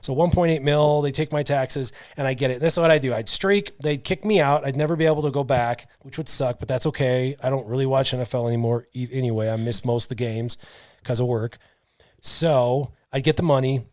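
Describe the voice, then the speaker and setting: A man's voice: mid-range voice, fairly quick, dry recording. A man in his thirties hosting a podcast, chatting casually and reading viewer comments from a small studio mic. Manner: relaxed, reads out viewer comments. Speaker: podcast host. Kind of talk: casual chat. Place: small brick-walled studio. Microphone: headset and studio mic.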